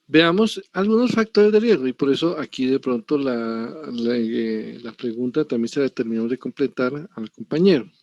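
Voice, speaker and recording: A man's voice: mid-range voice, lively intonation, normal speed, few pauses, a little mellow, dry recording, muffled recording. A middle-aged man with a Colombian accent giving an online pathology lecture, digressive and often checking with tag questions. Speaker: university lecturer.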